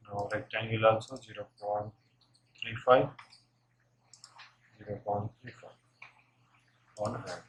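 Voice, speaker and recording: Slow, halting man giving a software tutorial. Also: fairly low pitch. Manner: halting, unscripted, thinking aloud while working. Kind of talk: step-by-step screen tutorial narration. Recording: noisy room, keyboard and mouse clicks.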